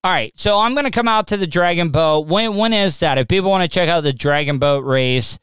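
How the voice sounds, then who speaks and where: A man hosting a live stream, chatting casually and stumbling over his words. His voice comes through very clean and smooth. The sound is close and dry, with no room in it. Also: on the high side, a little fast, fairly bright tone, dry recording. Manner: stammering, repeats words, laughs easily. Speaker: livestream host. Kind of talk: casual chat.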